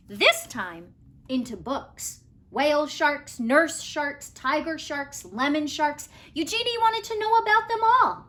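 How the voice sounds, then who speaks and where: A woman narrates a children's picture book in a high, very lively voice. She takes it slowly, leaning on important words and pausing for dramatic effect. The delivery is expressive scripted storytelling. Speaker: read-aloud storybook reader.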